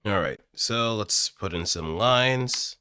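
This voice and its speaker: Low-voiced man, bright and dry sound. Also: expressive, slow, clean tone, dry recording. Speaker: amateur voice actor. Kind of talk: casual talk while recording practice.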